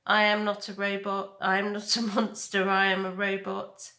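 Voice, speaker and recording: A woman voicing a gentle, motherly female robot in a measured, polite way for a storybook. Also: medium pitch, completely flat delivery, roomy sound. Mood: earnest.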